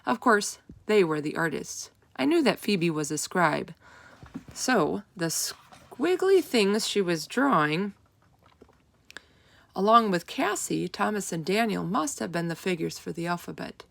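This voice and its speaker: A woman reading a children's storybook aloud as narrator, with expressive, deliberate emphasis. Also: on the low side, creaky.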